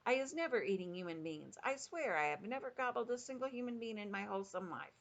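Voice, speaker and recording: A woman's voice: mid-range voice, lively intonation, quick pace, vocal fry. A woman voicing a brutish male giant in a children's storybook, terrified and begging in broken folksy grammar. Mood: pleading, terrified. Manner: wailing, screeching pleas in broken folksy grammar. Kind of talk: dramatised children's storybook reading.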